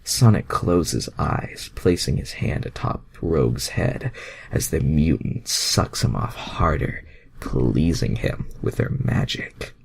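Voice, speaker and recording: A man's voice: very deep voice, lively intonation, slow, gravelly, husky. A man reading fan fiction aloud as an amateur narrator, with sweary, self-mocking, exasperated asides.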